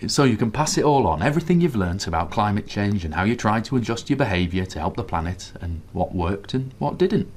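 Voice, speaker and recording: A man's voice: fairly low pitch, very animated, darkish tone, a little hiss or hum. An actor playing a middle-aged husband and father in a radio drama, dry and wry, later rallying his family with a plan. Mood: enthused. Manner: dry, wry, grumbly at first, then brisk and rallying. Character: greying husband and father, wry, then eagerly inspired.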